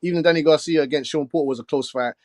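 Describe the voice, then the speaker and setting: A man in his twenties co-hosting a casual boxing chat. He argues his points quickly and keeps asking if you get him. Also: a little high, a little mellow, no echo, studio quality. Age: 20s. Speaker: boxing podcast co-host.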